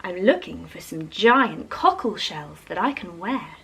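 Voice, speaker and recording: A woman's voice: on the low side, very animated, a little slow, fairly bright tone, husky, pitched below the performer's own voice, heavily acted. Woman as a polite, hopeful sea urchin.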